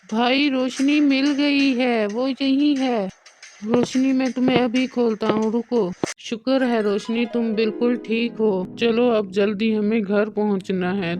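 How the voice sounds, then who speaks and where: A woman's voice: fairly high pitch, steady tone, measured pace, over music, voice pushed down, lightly acted. A woman voicing an earnest, anxious young man in a cartoon story. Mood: urgent, relieved.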